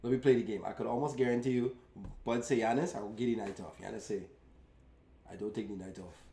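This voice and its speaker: A man trades playful jabs as a sports talk co-host. His voice is dark and gravelly, full of fry. The sound carries an echoey room tone. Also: lowish voice, fairly even intonation, fast talker. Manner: teasing, playful, leans on "you know what I mean". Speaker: podcast co-host. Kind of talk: casual sports banter. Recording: echoey room, mic at a distance.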